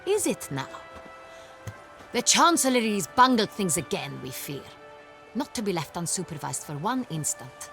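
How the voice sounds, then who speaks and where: An actress playing a haughty, formal young duchess in a video game, quick to indignation. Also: female voice, mid-range voice, sing-song, a bit bright, faint music behind. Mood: dry annoyance. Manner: formal, speaking with the royal we. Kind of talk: video game character acting.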